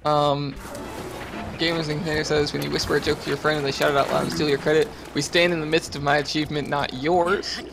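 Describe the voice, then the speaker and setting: A man's voice: fairly high pitch, flat delivery, quick pace, vocal fry, music in the background. An American man reading livestream chat aloud and chatting casually, with dry joking asides.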